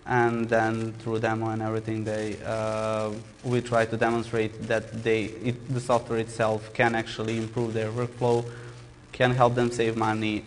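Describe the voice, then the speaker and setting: A man with a Serbian accent describing his software company on a panel, speaking haltingly with many restarts and cut-off words. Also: fairly low pitch, long reverb tail, a little hiss or hum, poor audio. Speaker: software startup founder on a panel. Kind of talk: panel introduction.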